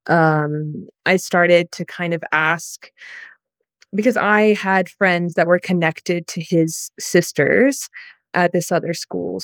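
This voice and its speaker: Woman from the US, lowish voice, soft reflective storytelling, dry close sound. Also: no echo. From United States. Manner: soft, reflective, many pauses and ums. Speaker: guest. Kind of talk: personal story in an interview.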